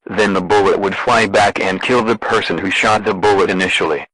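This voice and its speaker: Deadpan robotic male alert announcer, low-pitched. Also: brisk, husky, no room sound, poor audio. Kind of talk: scripted emergency broadcast announcement.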